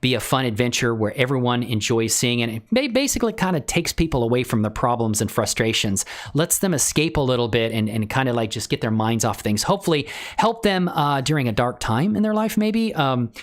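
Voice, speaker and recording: Livestream tutorial host: informal, enthusiastic, chatty, mid-pitched with very animated intonation. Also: a little fast. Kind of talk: casual livestream chat with software tutorial.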